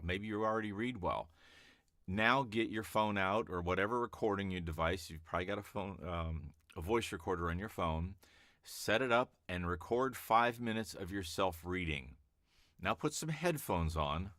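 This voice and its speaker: A man coaching voice-over hopefuls in a relaxed, chatty teaching talk with a calm, easy-to-listen-to voice. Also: fairly low pitch, dry recording, clean studio sound. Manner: relaxed and chatty, with fillers and restarts. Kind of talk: casual teaching vlog.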